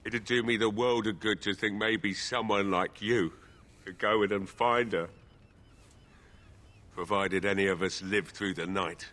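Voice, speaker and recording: An actor playing a gruff, drunken, despairing village blacksmith in a video game, blunt and emotional. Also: male voice, on the low side, fairly even intonation, unhurried, fairly bright tone. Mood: bitter, pleading. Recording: game music under the voice.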